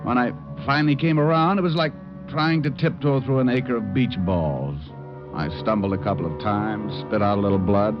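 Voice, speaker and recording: An actor playing a cocky, wry, hard-boiled American private detective in an old-time radio drama. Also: male voice, on the low side, close to an everyday voice. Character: wisecracking, cocky, tough male private detective. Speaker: lead actor and narrator of a radio detective show. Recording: dull old radio recording with music.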